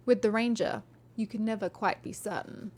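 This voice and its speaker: A woman's voice: medium pitch, moderate pace, darkish tone. Chatty woman reading a book on stream. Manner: chatty and friendly, frequent ums and restarts. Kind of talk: live-streamed audiobook reading and chat.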